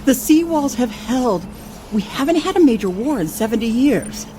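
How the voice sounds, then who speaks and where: An actress playing a sceptical, level-headed colleague in a science fiction audio drama, speaking in a measured, dry, challenging way. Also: female voice, sits high, expressive, warm tone, slight background noise. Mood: earnest, hopeful.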